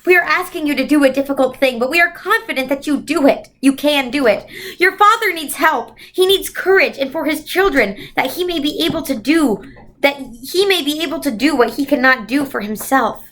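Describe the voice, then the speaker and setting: Woman reading as a high-voiced, gentle otherworldly guide. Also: expressive, brisk. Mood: reassuring. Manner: patient, encouraging, explanatory. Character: gentle, reassuring female winged otherworldly guide.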